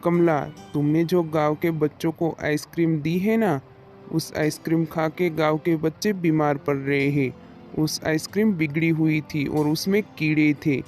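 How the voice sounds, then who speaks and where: Woman voicing a low, stern village headman. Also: a little mellow. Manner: stern, accusing. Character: stern male village headman, reproachful, authoritative. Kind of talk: Hindi cartoon moral-story dialogue.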